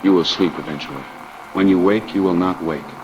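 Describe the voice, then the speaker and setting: A man playing an alien copy of a polar scientist, recording a detached, measured, fatalistic log in an audio drama. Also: low-pitched, steady tone, lo-fi sound, background noise, close to an everyday voice. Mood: cold, menacing.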